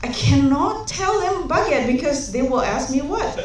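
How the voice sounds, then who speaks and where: A woman's voice: high-pitched, sing-song, a little slow, crowd noise. A young woman from Singapore gives a humorous conference talk, telling chatty anecdotes with many fillers. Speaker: conference speaker. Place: large conference hall. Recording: echoey hall, speaker far from mic.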